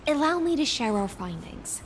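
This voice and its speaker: A woman's voice: high voice, big pitch swings, normal speed, long reverb tail, slight background noise. A woman playing a courteous, loyal knight, speaking formally and with measure in an anime game. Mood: formal, composed. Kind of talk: dramatic anime game acting. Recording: faint background music.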